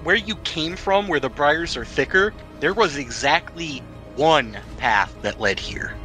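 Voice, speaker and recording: A man's voice: on the high side, lively intonation, unhurried, bright, forceful tone, faint music behind. A man acting as game master, narrating a tabletop role-playing game in a conversational, explanatory way.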